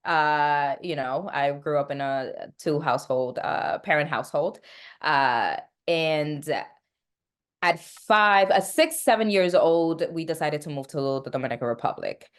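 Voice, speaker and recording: A woman's voice: low-pitched, animated, measured pace, clear, resonant tone. A young woman from the United States, a therapist and interview guest, talking in a gracious way with frequent fillers. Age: young adult. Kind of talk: casual interview conversation.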